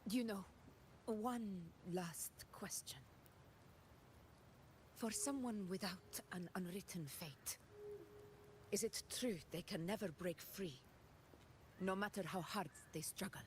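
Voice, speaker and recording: A woman voicing a serious, protective warrior leader with a grave, measured manner in an anime game. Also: medium pitch, a little hiss or hum, lightly acted. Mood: solemn, searching. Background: game music.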